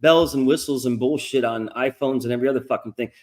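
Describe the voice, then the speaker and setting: A middle-aged man with a nasal voice hosting a casual livestream interview, rambling and swearing freely as he gets animated. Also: mid-range voice, fast talker. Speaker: podcast host.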